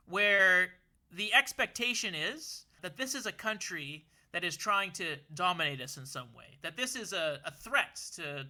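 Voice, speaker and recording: A man's voice: sits high, sharp tone. A young man from Canada co-hosting a casual political discussion, talking earnestly with many restarts and repeated words, his voice worn as if losing it. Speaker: podcast co-host.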